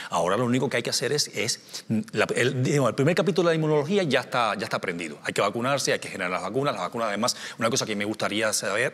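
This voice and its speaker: A man's voice: medium pitch, a bit bright, long reverb tail. A man in his forties, from Cuba, a scientist speaking on a studio panel, gesturing and restarting his phrases often. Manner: gestures a lot, many restarts and fillers. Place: television studio. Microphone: small lapel mic.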